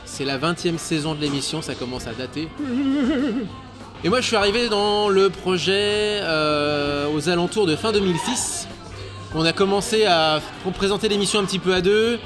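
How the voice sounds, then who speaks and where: Man, thirties, chatty interview guest, slightly high voice, stammering fillers, clear bar music behind. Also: normal speed. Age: 30s. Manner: chatty, hesitant with many fillers and stammered repeats. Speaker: guest, radio show co-host. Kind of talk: casual interview chat.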